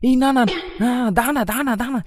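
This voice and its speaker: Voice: medium pitch, steady tone, very fast, very noisy, lightly acted. A performer voicing a gullible, lovestruck young man in a comedy cartoon, whiny and pleading, repeating words. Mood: pleading, defensive. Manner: pleading, repetitive.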